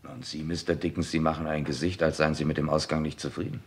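A man's voice: extremely low pitch, level tone, fast, breathy edge, full character voice. An actor playing a stern, reproving male chief inspector with clipped delivery in a 1960s German radio crime drama. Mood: stern, reproachful.

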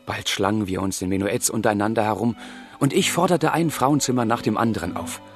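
An actor playing an ardent, romantic young man who narrates his letters in a lyrical, expressive way in a radio play. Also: lowish voice, a little fast, faint music behind, natural voice. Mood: rapturous.

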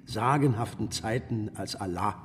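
Actor as a solemn old master in long reverb. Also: male voice, on the low side, lightly acted. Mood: solemn, reflective. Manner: measured, contemplative, storytelling delivery. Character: old master miniature painter, solemn mentor of tradition. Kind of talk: literary radio drama monologue. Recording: long reverb, music behind.

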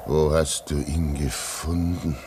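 A man's voice: very deep voice, fairly even intonation, slow pace. A man playing a devout, solemn old man stranded at a remote Arctic station in an old radio drama. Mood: anxious. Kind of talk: 1950s German radio drama acting. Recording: old radio recording with noise.

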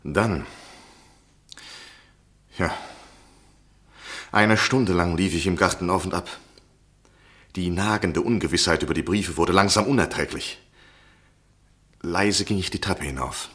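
A man playing an anxious, insistent gentleman journalist in an old German radio play, deliberate and confessional in his literary phrasing. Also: low-pitched. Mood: brooding. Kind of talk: 1960s German radio play acting and narration.

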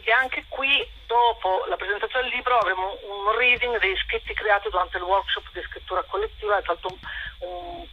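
A woman's voice: medium pitch, brisk, husky. A woman explaining informally as a radio interview guest, hesitant and leaning on filler words. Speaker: interview guest, arts project organiser. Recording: dull phone line on radio.

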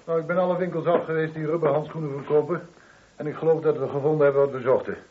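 A man's voice: a little high, fairly even intonation, fast, slightly rough. A man playing a dutiful police constable in a radio drama, giving a factual report. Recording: tinny, narrow-band old radio drama recording.

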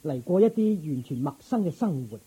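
An attentive royal guard from a Cantonese radio drama. His voice is husky and muted, swinging widely in pitch as he speaks with formal deference to his master. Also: big pitch swings, moderate pace, mellow, exaggerated voice, rough recording. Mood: humbly puzzled.

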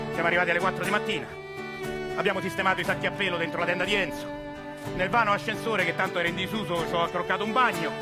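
A man's voice: fairly high pitch, natural voice. An actor playing a weary, earnest working-class Roman family man, confiding his grievances in a colloquial theatrical monologue. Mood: weary, recounting. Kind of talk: theatrical first-person monologue.

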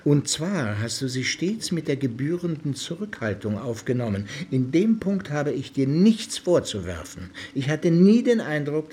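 An actor playing a stern, indignant middle-aged uncle in a German radio drama, speaking formally and reproachfully with weighty pauses. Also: male voice, a little high, a little mellow, a little hiss or hum. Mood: measured, persuading. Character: stern, indignant uncle, patriarch of a genteel household.

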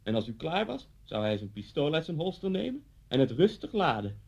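An actor playing a taunting man in a radio drama, teasing and theatrical, relishing menace as he swings between quiet and loud. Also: a little high, sing-song, a little fast, creaky, breathy edge, full character voice. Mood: mocking, menacing relish. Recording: dull lo-fi radio play recording.

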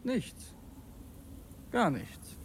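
A man's voice: very slow, slight background noise. An actor playing a brooding, secretive young man who recounts his memories with melancholy intensity in a radio play. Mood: impatient, guarded. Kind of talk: vintage German radio drama acting.

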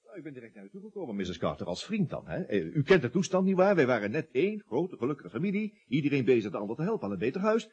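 A flustered, deferential estate agent in a radio comedy. His husky voice runs fast and swings up and down, stammering with earnest eagerness. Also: mid-range voice, sing-song, darkish tone, lo-fi sound. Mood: eager, persuasive. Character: eager, flustered male estate agent, well-meaning meddler. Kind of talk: radio comedy drama acting.

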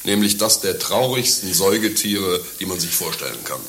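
An actor playing a morose, cynical pessimist in a German radio play, lecturing with pompous, sardonic disgust. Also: male voice, low-pitched, lively intonation, moderate pace, fairly bright tone, slight background noise. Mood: mocking disgust.

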